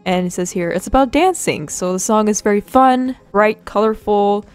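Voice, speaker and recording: A woman's voice: mid-range voice, moderate pace, a little mellow, smooth. A woman casually walking through her own character design work, rambling and self-deprecating, with lots of fillers. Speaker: artist and channel host. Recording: dry close mic with faint music behind.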